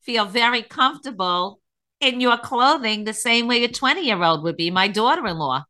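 A woman's voice: on the high side, animated, moderate pace, bright, forceful tone. An older woman, a grandmother, hosting a talk show and enthusiastically introducing her guest. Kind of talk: interview hosting.

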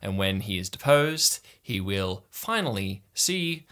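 A man's voice: on the low side, big pitch swings, takes it slowly, a bit bright, clean tone, exaggerated voice. A man voicing a bossy, scheming young girl camper who gives menacing, clipped orders in a tabletop game. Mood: intense. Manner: commanding, clipped, menacing insistence.

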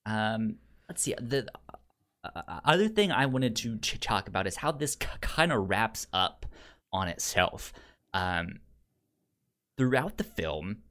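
A man co-hosting a casual film podcast, speaking haltingly with a stutter, repeating sounds and words as he goes. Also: lowish voice, sing-song, bright, forceful tone. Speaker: podcast co-host reviewing films.

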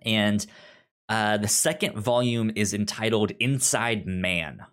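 Male podcast co-host, slow delivery, lowish pitch, halting and stammering, casual discussion tone. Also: a bit bright. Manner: halting, often stammering on words.

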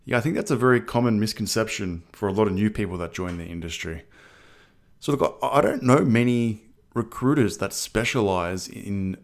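A man hosts a trade podcast, opening with a prepared introduction and then asking his guest questions. His voice sits a bit low, and his delivery stays measured and even. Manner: measured, partly scripted introductions. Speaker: podcast host. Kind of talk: podcast interview hosting.

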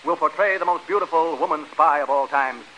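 A man with a high-pitched voice hosts an old-time radio game show. He is jovial and teasing and tends to stammer through his banter. The broadcast is old and clearly noisy. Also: a little fast, pushed and bright. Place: radio show stage before an audience.

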